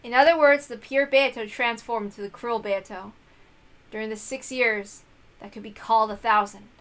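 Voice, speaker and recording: A woman reading a visual novel aloud, with expressive narration and casual asides. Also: on the high side, normal speed. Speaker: reader of a visual novel playthrough.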